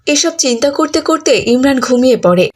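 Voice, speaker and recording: A woman's voice: sits high, animated, brisk, very noisy. A woman reading scripted narration for a cartoon story.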